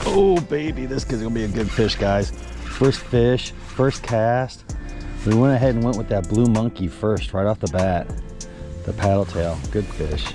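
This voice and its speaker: A man's voice: on the low side, a little slow, music in the background. Upbeat middle-aged man on a boat. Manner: upbeat, talks straight to viewers, excitable when fish bite. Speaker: fishing guide and bait seller. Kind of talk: casual fishing vlog with sales pitch. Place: outdoors on a boat on a lake. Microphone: action camera on the boat.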